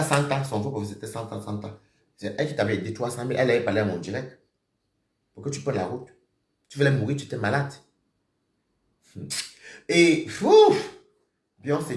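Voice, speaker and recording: Heated, animated man in an echoey room. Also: a little high. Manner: accusatory, repetitive, swings between shouting and muttering. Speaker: live-stream host. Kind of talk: heated live-stream rant.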